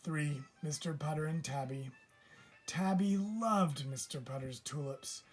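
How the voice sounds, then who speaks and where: A man reading a children's picture book aloud as a teacher would, with measured, deliberate phrasing. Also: a little high, husky, soft background music. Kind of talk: children's storybook read-aloud.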